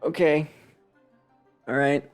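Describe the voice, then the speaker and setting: A man's voice: on the high side, fairly even intonation, takes it slowly, clear, resonant tone, faint music behind. A man doing casual live game commentary, grumbling wryly and talking to himself and the game. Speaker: game streamer. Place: live stream, game music behind the voice.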